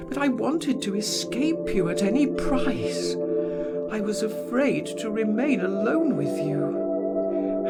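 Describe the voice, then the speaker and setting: Man acting genteel tormented woman, high pitch, imploring, highly animated, storybook drama, loud music underneath. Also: exaggerated voice. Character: anxious, tormented married society woman, pleading, genteel.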